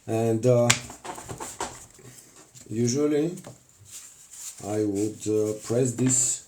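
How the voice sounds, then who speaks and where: A man with a Bulgarian accent hosts a casual live crafting demonstration, talking haltingly with many fillers and restarts. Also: medium pitch, warm tone, a little hiss or hum. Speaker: craft livestream host.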